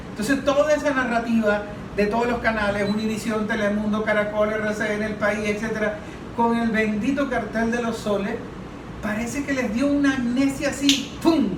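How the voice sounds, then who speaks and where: A man with a Venezuelan accent giving emphatic, argumentative political analysis, posing rhetorical questions and answering them. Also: high-pitched. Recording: echoey room, distant mic, noisy.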